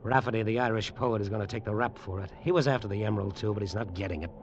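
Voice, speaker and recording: A man's voice: on the low side, very fast, natural voice. An actor playing a cynical, menacing tough guy in an old radio drama, clipped, slangy and hard-boiled. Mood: intense, possessive. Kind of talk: old radio drama acting and noir narration. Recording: muffled, noisy old radio recording.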